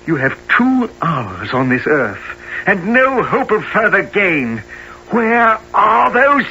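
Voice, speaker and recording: An actor playing a respectable gentleman in a radio play, formal, earnest and insistent. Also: high-pitched, very animated, slow pace, lo-fi sound, slight background noise, full character voice. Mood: urgent pleading. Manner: formal, urgent.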